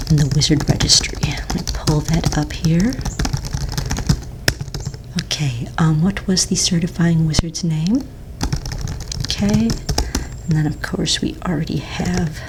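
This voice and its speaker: Woman as patient fantasy-registry office manager, ASMR roleplay. Low, soft, breathy near-whisper, gentle and unhurried, keyboard typing and background noise. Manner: gentle, unhurried, hesitant pauses while checking paperwork. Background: computer keyboard typing.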